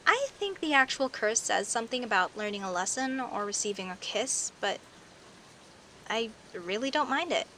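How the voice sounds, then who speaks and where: Woman playing a friendly young werecat lady, highish bright voice, playful, conversational, audio roleplay, teasing emphasis. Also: lively intonation, a little hiss or hum. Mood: relaxed, curious. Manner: playful, conversational, with hesitant pauses and theatrical emphasis. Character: friendly teasing young werecat woman, chatty neighbor telling her story. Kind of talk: audio roleplay, casual one-sided conversation with the listener.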